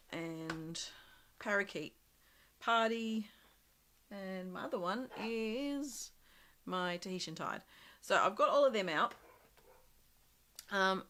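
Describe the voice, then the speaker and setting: A woman with a slightly croaky voice from a cold, casually demonstrating a craft project live and thinking aloud as she works. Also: mid-range voice, a bit bright, slight background noise. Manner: chatty, thinking aloud with many ums and small laughs.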